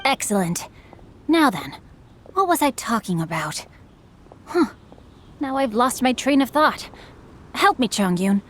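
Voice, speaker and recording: A woman's voice: a little high, big pitch swings, unhurried, pauses often. A woman voicing a refined, bookish teenage boy in a video game, flowery, composed and articulate, with faint noise behind. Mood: composed, playful. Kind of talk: video game dialogue acting.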